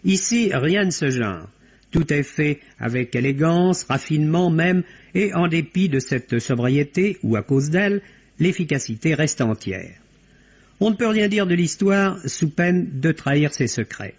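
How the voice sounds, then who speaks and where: A man's voice: on the high side, lively intonation, measured pace, breathy edge. A man delivering a formal, articulate, scripted film review as a radio critic. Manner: articulate, formal, literary phrasing. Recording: dull, band-limited vintage radio recording.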